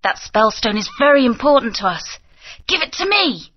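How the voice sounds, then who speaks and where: A woman's voice: a little high, very animated, normal speed, husky. A woman voicing a bold young heroine who gives orders in a bossy way. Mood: commanding. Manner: bossy, urgent. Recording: dull lo-fi game audio.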